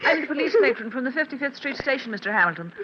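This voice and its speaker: A woman playing a brisk, polite police matron in 1940s radio drama style. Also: high-pitched, animated. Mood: brisk, courteous. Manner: brisk, businesslike. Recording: muffled, noisy 1940s radio recording.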